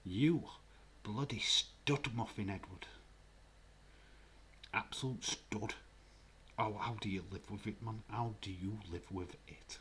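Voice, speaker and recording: A man with a Yorkshire accent chats on a live stream, joking and teasing his viewers in a fairly low voice. He talks slowly and easily, laughing now and then and sometimes slipping to a near whisper. A faint noise sits under the recording. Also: pushed and bright. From Yorkshire, England. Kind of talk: casual chat reading live comments.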